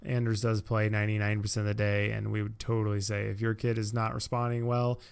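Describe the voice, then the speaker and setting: A man's voice: fairly low pitch, flat delivery, quick pace, creaky, clean tone. An easygoing, reassuring man, chatting casually as a podcast host. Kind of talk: casual chat.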